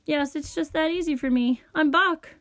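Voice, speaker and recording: A woman's voice: sits high, normal speed, fairly bright tone, voice pushed up. A woman voicing a vain, boastful male composer who narrates his own storybook tale pompously. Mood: smug. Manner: pompous, self-satisfied.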